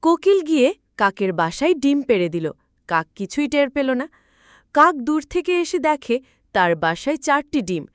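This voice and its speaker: A woman's voice: high-pitched, big pitch swings, normal speed, dry recording. A woman narrating a scripted children's story, expressively, as if speaking to young listeners.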